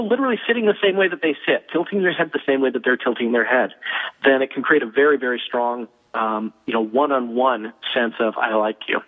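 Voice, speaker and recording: A man talks quickly and fluently, with a husky edge to his voice. He explains his points like a teacher and often drops an "um" between them. The sound comes thin and tinny over a phone line. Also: mid-range voice. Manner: fast, fluent teaching with frequent "um". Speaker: guest expert on persuasion.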